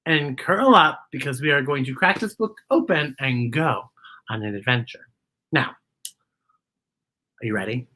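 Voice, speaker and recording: Female kids' read-aloud host: playful, unhurried, very expressive, addresses small listeners directly. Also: a bass voice, low-quality recording. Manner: playful, exclamatory, talks directly to young listeners. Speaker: children's story time host. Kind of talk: animated children's picture-book read-aloud.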